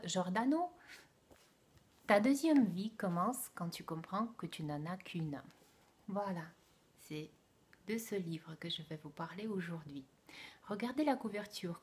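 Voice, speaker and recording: A woman with a Russian accent talks slowly and deliberately, her voice soft and breathy. She speaks French as a second language and picks her words carefully, dropping into gentle whispered asides now and then. It has the relaxed feel of a casual book review. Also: lowish voice.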